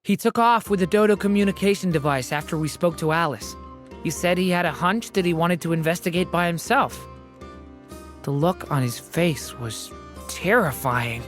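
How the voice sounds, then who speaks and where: An actress voicing a calm young woman traveler who recounts events plainly. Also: on the low side, moderate pace, soft background music, natural voice.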